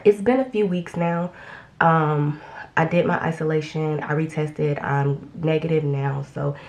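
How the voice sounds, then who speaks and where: A young woman with a low, deep voice talks through a casual get-ready-with-me update at home. She sounds a little congested from a recent illness and rambles on with frequent ums and restarts. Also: fairly even intonation, unhurried, darkish tone. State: slightly congested after illness. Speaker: beauty vlogger.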